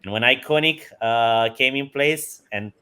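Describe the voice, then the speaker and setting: A man's voice: mid-range voice, measured pace, pushed and bright, clear, resonant tone. A man, enthusiastically answering interview questions and chuckling often. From Romania. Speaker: guest, company CEO.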